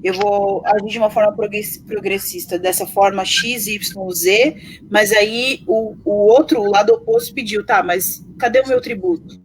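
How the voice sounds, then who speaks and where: A woman's voice: mid-range voice, moderate pace, hardly any pauses, slight background noise, low-quality recording. A Brazilian woman speaking with passion and arguing her points in a discussion. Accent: Brazilian Portuguese. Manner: impassioned, argumentative. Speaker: panelist.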